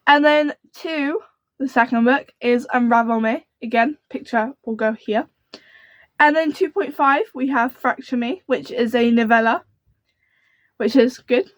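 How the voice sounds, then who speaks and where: A young woman who speaks in a soft, quiet voice, chatting to camera about books in a rambling, gushing way. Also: fairly high pitch, a little slow, dry recording. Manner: rambling and gushing, full of fillers. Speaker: book vlogger. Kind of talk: casual book chat to camera.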